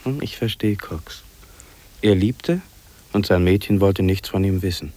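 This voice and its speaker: A brooding, lovesick young man thinks aloud to himself in a fifties radio drama. His voice sits fairly low and is very mellow, with a hushed, hesitant, musing delivery. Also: measured pace. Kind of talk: 1950s radio drama inner monologue.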